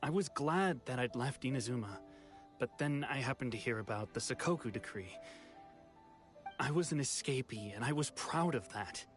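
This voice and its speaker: An actor playing a regretful young runaway who has returned home, speaking sincerely in anime game style. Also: male voice, mid-range voice, animated, normal speed, close to an everyday voice. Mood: wistful, regretful. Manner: wistful, sincere. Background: background music.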